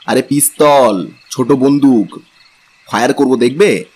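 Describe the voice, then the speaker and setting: Confident young husband, acted by a man, mid-pitched. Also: measured pace, darkish tone, husky. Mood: boastful. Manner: casual, reassuring, lightly commanding. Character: confident, protective young husband, brisk and reassuring. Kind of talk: audio story character acting.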